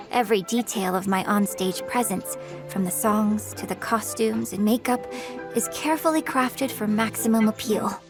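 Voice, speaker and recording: A graceful young female singer from a video game, speaking in a fairly high voice with some creak. She is composed, polite and a little wistful, choosing words with care. Music plays clearly behind her.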